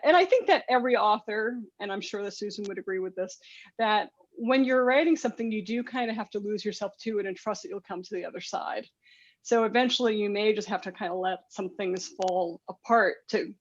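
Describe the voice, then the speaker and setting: A woman reads tarot off the cuff on a lo-fi, muffled video call. Her pitch sits in an average female range, and she speaks with emphasis and affirmation. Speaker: tarot reader. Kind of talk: spontaneous tarot reading on a group video call. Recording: dull lo-fi video call audio.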